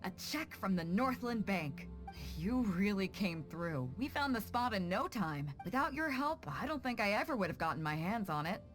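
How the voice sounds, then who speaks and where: A confident pirate captain voiced by a woman with lively, swinging intonation. She is breezy and commanding, an easygoing game heroine who talks frankly, with music playing underneath. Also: medium pitch, lightly acted. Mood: pleased. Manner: breezy, self-assured, casual. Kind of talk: video game voice acting.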